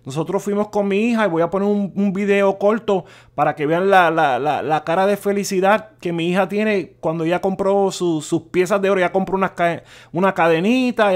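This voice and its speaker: A middle-aged man hosting a personal finance channel, talking casually and stammering, with English words mixed in. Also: sits high. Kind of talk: casual explanatory talk over a spreadsheet. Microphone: voice-over on a screen recording.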